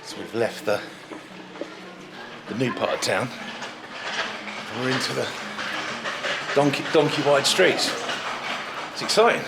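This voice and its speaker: A middle-aged man with a British accent, chatting excitedly while walking through town streets outdoors and filming a house-hunting vlog. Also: medium pitch, unhurried, vocal fry, pauses often, heavy background noise. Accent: British English. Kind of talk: casual travel vlog. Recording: music playing underneath.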